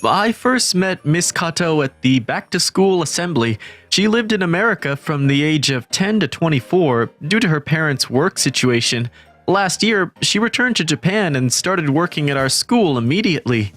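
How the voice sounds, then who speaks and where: A man's voice: a little high, lively intonation, normal speed, fairly bright tone. A man voicing a gloomy, introverted, easily panicked teenage schoolboy who narrates a manga story in a self-deprecating, dramatic way. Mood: lovestruck, glum. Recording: music bed under the voice. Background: music.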